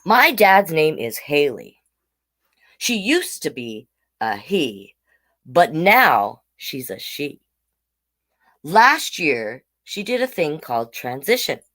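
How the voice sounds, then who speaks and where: Woman storyteller with very lively pitch swings. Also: slow pace. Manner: simple, child-directed explaining with tag questions. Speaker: children's storytime host and reader. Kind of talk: picture-book read-aloud with chatty asides.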